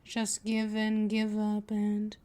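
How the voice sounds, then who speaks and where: A woman playing a patient, possessive vampire mistress in an ASMR roleplay, with a deliberate, teasing, coaxing delivery. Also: medium pitch, completely flat delivery, warm tone, close to an everyday voice. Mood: patient, seductive. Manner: slow, deliberate, coaxing, with drawn-out pet names.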